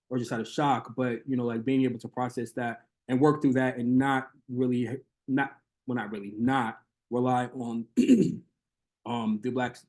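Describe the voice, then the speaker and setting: A man's voice: mid-range voice, a little mellow. A man presenting research in an academic Q&A on a video call, talking hesitantly with many fillers and restarts.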